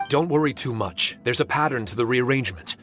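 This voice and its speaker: A man playing a calm, courteous male spirit overseer in a video game, with formal and polite phrasing. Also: mid-range voice, lightly acted. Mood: calm, reassuring. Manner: formal, measured wording, polite. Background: music.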